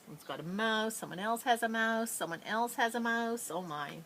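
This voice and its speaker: A woman reading a children's picture book aloud expressively, with drawn-out dramatic phrasing and playful asides. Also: fairly high pitch, fairly bright tone, slight background noise. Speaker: storybook reader.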